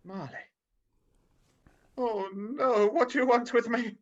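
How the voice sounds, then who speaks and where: An actor playing a grumpy, frightened old male miser in a radio play, dismissive and halting, over a video call. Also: mid-range voice, close to an everyday voice. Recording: slightly dull video-call audio.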